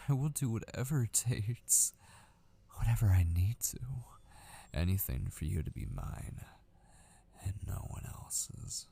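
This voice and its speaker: A deep, crackly-voiced male captor played by a man in an ASMR roleplay, obsessive and menacing. He talks slowly and darkly, taunting his captive and often sinking to a whisper. A faint noise sits behind the voice. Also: big pitch swings, vocal fry, warm tone, full character voice. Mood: threatening, obsessive. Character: obsessive mortal male yandere kidnapper, menacing and possessive. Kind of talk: intimate ASMR roleplay acting.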